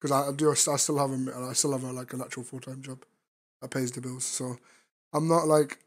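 A man, a regular streamer, chatting casually in a virtual-reality game, his voice strained and hoarse after being ill, loud and crude in his teasing. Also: medium pitch, level tone, brisk. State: strained tired voice after illness. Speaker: VRChat streamer. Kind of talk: casual chat.

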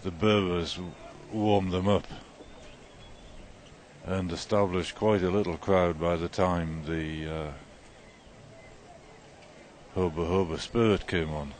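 Very deep-voiced man chatting after a concert. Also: takes it slowly, long pauses, slight background noise. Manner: relaxed, rambling, with many fillers. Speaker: podcast host. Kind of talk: casual chat. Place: outdoors at a festival.

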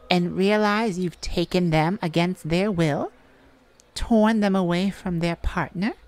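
A woman playing a weary, defiant mage in a dramatic audio roleplay, speaking in a halting, wry way. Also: on the low side, heavily acted. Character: weary, defiant human mage longing for captured mate.

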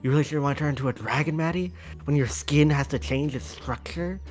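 A man playing a dry, skeptical wizard student who teases in a deadpan way, in a Minecraft roleplay. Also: fairly high pitch, fast, faint music behind, lightly acted.

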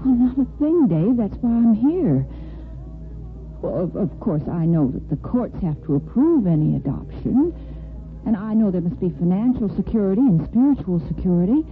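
A thin, tinny vintage radio drama recording of an actress as a woman of medium pitch. She is sincere and determined, with a sensitive, earnest delivery in the soap opera manner of the fifties. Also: big pitch swings, moderate pace, soft background music, natural voice. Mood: earnest, pleading.

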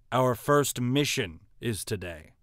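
A man's voice: mid-range voice, vocal fry, fairly bright tone, no room sound, studio quality, exaggerated voice. A man acting an easily flustered, put-upon young teacher in an amateur anime-style drama.

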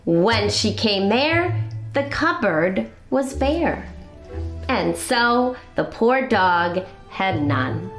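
A middle-aged woman reading a picture book aloud to young children, expressive and deliberate, as a storytime host. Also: medium pitch, roomy sound. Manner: expressive, deliberate, explains words for young listeners. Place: small indoor classroom-style set.

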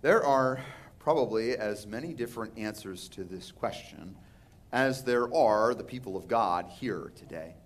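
A man's voice: on the low side, expressive, a little slow. A man in his thirties with a Texan accent, preaching a sermon earnestly from a church pulpit. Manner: earnest preaching with rising and falling emphasis. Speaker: pastor. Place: large church sanctuary, indoors. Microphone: pulpit microphone.

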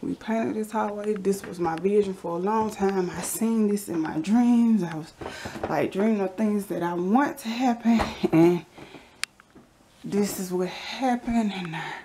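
A woman's voice: mid-range voice, a little slow, slight background noise. A woman, chatting casually as she walks a handheld camera through her house, sometimes dropping into a whisper. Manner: chatty, relaxed, drops into whispers. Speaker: vlogger giving a house tour. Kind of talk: casual walkthrough narration. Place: basement and hallways of a house, indoors. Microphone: handheld camera held while walking.

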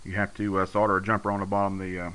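A man's voice: low voice, monotone, very fast, bright, forceful tone. A man giving a casual, hands-on technical walkthrough as a hobbyist, explaining things in a matter-of-fact way. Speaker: radio hobbyist demonstrating his conversion work. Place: indoor room at a home radio bench. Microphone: handheld camera's built-in mic. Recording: noisy amateur handheld camera audio. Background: clear background noise.

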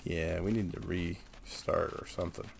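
A man's voice: low-pitched, fairly even intonation, a little slow, vocal fry, slight background noise. A man giving casual, sarcastic gameplay commentary and reading quest text aloud, grumbling mildly as he goes. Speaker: gaming video host.

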